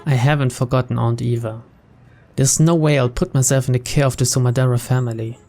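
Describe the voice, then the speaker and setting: A man's voice: medium pitch, steady tone, a little fast, mellow. A man playing through a visual novel, reading its narration aloud in English as a storyteller. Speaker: video game let's player.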